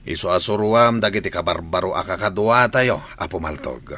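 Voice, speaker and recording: A man playing a commanding gang boss in a radio drama, forceful and rough-voiced, with a Filipino accent. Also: low-pitched, lively intonation, fairly quick.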